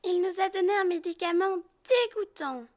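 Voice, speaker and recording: Very high, squeaky little animal child. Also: female voice, moderate pace. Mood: disgusted. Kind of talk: children's cartoon dub.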